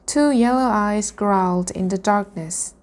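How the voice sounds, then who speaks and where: Slow-paced woman reading a storybook. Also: medium pitch, darkish tone. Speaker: reader practicing reading aloud. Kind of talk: reading a children's storybook aloud.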